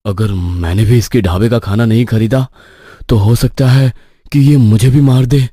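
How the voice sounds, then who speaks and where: Casting: a man for a nervous young stationmaster in a horror cartoon, medium pitch, placating, very dry sound. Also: steady tone, normal speed, mellow, no echo, close to an everyday voice. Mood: placating, wary. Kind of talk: cartoon horror story acting.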